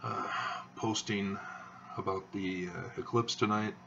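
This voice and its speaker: A man hosting his own video channel, talking in a slow, halting monotone with many fillers as he rambles. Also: fairly low pitch, creaky, distant mic, lo-fi sound, very noisy. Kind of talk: rambling monologue to camera.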